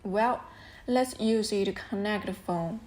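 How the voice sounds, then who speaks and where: A woman's voice: medium pitch, unhurried, a little mellow, faint music behind. A woman presenting a product review as scripted narration, explaining things step by step in an instructional way.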